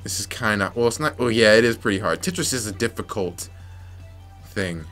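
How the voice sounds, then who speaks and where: A young man commentating his gameplay, frustrated and muttering to himself with sudden yelps, slightly raspy from yelling. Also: on the low side, lively intonation, normal speed. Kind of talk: let's play commentary. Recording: faint game music behind.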